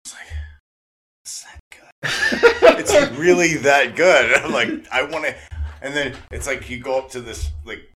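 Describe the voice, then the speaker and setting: A man co-hosts a podcast interview in loose, excited conversation. He gushes openly as a fan, talks in slang and circles back over his own phrases. His pitch sits in an ordinary middle range for a man. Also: a little slow, crowd noise. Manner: gushing, effusive fan enthusiasm; slangy, repeats himself. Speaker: podcast host interviewing a guest. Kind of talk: excited casual chat.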